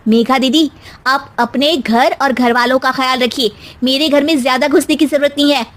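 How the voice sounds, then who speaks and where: A woman's voice: high-pitched, fairly even intonation, moderate pace, pitched above the performer's own voice, close to an everyday voice. A woman voicing a young newlywed daughter-in-law in a family tale, polite but pointed and firm. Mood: firm, indignant.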